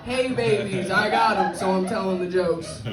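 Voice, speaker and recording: A woman from the South Bronx with a Bronx accent and a deep, slightly cracked voice, doing a brash, crude stand-up set on a club stage. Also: moderate pace, over a noisy crowd. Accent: Bronx New York. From South Bronx, New York. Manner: brash, crude, self-mocking punchlines. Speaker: stand-up comedian. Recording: echoey club, far from the mic. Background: audience laughter.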